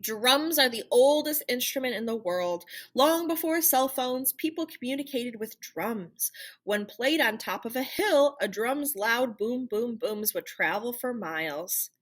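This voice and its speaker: A woman reading a children's picture book aloud in an animated, theatrical storytelling manner. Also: fairly high pitch, unhurried. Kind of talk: expressive children's read-aloud narration.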